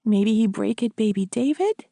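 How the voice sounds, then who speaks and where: A woman's voice: a little high, lively intonation, moderate pace, vocal fry, warm tone, no room sound. A woman voicing a small girl who is eager and pleading. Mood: earnest.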